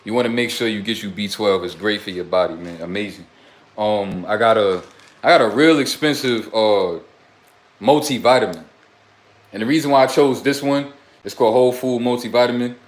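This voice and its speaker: A man's voice: fairly low pitch, a little fast. A young man casually livestreaming to his chat, talking in loose streetwise slang, blunt and preachy, rambling from one topic to the next. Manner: rambling, drifts between topics. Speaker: self-styled life coach.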